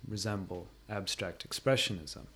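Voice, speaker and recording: Low-pitched man giving measured commentary. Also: gravelly, a bit bright. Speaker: interview guest. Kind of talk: analytical conversational commentary.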